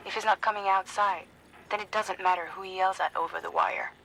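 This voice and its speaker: Composed, even-toned resolute woman. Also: medium pitch, fairly quick, gravelly, slight background noise, low-quality recording. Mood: calm, resigned. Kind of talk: video game cutscene acting.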